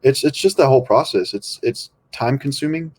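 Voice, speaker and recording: A man's voice: medium pitch, animated, moderate pace. A young man from California, a podcast guest, talking in a laid-back, rambling way with many ums. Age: young adult. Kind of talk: casual podcast chat.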